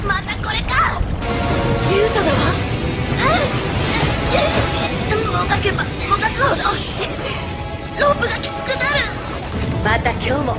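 A woman's voice: extremely high pitch, full character voice. A woman voicing a cocky adventurer pony heroine in a cartoon dub, with a gutsy, dramatic delivery. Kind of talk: animated series dub acting. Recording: loud music covering the voice.

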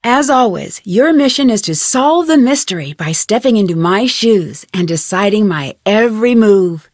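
A woman playing a chipper, curious young detective, giving friendly, clearly articulated tutorial narration for a game. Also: medium pitch, animated, normal speed, a little mellow, dry recording, clean studio sound, lightly acted. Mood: friendly, instructive.

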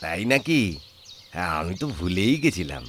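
A practical, good-natured village husband in a cartoon, voiced by a man. His voice is a little low and homely, teasing and mildly bossy, with faint noise behind. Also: very animated, normal speed, a bit bright. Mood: agreeable.

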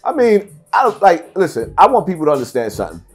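A man's voice: high-pitched, huge pitch swings, quick pace, slightly rough, faint music behind. A middle-aged man giving blunt, emphatic interview answers, repeating phrases for stress. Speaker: podcast guest. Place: seated at table before branded backdrop, indoors.

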